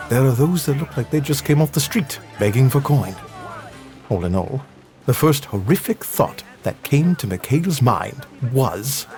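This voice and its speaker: A man's voice: medium pitch, a little mellow. Man, story narrator, scripted dramatic delivery, mid-range voice, background music.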